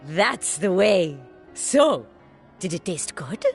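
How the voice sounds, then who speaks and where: A woman playing a curious, excitable young scholar in a game cutscene, expressive and eager. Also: on the low side, slow pace, full character voice. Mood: playful coaxing. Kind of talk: video game cutscene acting. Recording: music behind.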